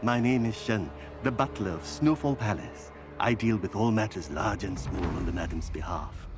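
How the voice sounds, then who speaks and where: Pompous palace butler acted by a man for a game: lowish voice, stiff formality, music underneath. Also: moderate pace, natural voice. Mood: officious, scheming. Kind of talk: game cutscene acting. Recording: music behind.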